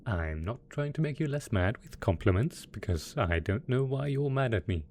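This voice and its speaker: A man's voice: medium pitch, expressive, normal speed, a little mellow, a little hiss or hum, natural voice. A man playing a gleeful, smug and possessively doting mad scientist supervillain boss in a theatrical, playful roleplay audio drama. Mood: feigned innocence. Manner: theatrical, playful, mock-innocent, chuckling.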